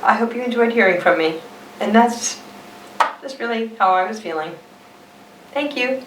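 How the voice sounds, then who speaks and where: A woman's voice: a little high, lively intonation, moderate pace, a bit bright, reverberant, recorded from a distance, slight background noise, low-quality recording. A woman voicing an elegant, fussy purple crayon from a storybook, primly polite with strained composure. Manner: prim, mannered, strained composure.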